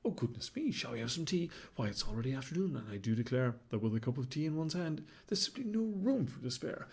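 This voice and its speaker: A man's voice: mid-range voice, sing-song, fast talker, a little mellow, breathy edge. A man voicing a flamboyant, fashion-mad male baboon who talks in pompous, theatrical rhymes. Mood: flustered. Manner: pompous, sing-song rhyming, fussy and dramatic. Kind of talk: storybook character voice.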